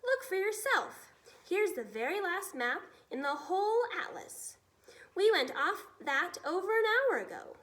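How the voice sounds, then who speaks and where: A woman's voice: very high-pitched, sing-song, measured pace, fairly bright tone, pitched above the performer's own voice, full character voice. A woman voicing a blustering, panicky male Air Force chief from a storybook, exclaiming in exasperation. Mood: frantic.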